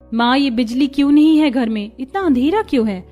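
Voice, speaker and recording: A woman as a plaintive, high-voiced poor college girl. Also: animated, fairly quick, warm tone. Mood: anxious. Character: poor, gentle young college girl, dutiful and troubled. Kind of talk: Hindi cartoon moral story acting.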